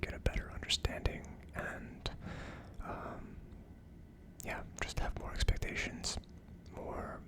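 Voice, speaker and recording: Whispered voice, unvoiced, hushed, ASMR host, casual tasting review. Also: slow.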